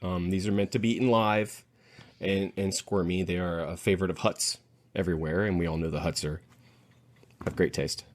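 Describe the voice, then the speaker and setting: A man's voice: sits low, fairly even intonation, moderate pace. A man chatting casually and playfully as a co-host on a podcast panel, savoring his descriptions.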